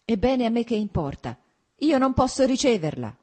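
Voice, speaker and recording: A woman's voice: a little mellow, lightly acted. A woman voicing an anguished, furious betrayed wife in an audiobook, with cutting, bitter delivery. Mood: shouting, distressed. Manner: shrill outbursts, cutting and hurried.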